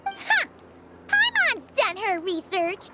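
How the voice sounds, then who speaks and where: An extremely high, childlike little fairy girl from an anime game. She is cheeky and boastful, exclaims with big melodic swings, and loud music plays behind her. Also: wildly swooping pitch, takes it slowly, heavily acted. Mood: proud, excited. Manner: exclaiming, childlike, refers to herself in third person. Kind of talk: anime video game acting.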